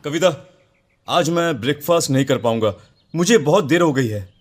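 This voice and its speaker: A man's voice: on the high side, lively intonation, normal speed. A man voicing a reassuring, dismissive young newlywed husband in a horror cartoon. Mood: hurried. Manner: reassuring, brushing worries aside. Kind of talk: Hindi horror cartoon dialogue.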